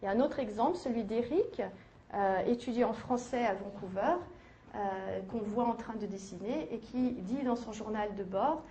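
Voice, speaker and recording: A woman giving an academic conference lecture, measured, with hesitations and self-corrections. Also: a little high, warm tone, lo-fi sound, slight background noise. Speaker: university lecturer. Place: lecture hall. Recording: echoey lecture hall.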